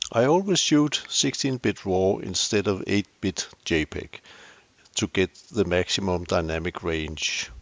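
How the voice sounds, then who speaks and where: A man's voice: on the low side, creaky, dry recording, dull audio. An older Danish man with a Danish accent, hosting a camera tutorial with dry, self-deprecating humor. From Denmark. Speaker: tutorial host.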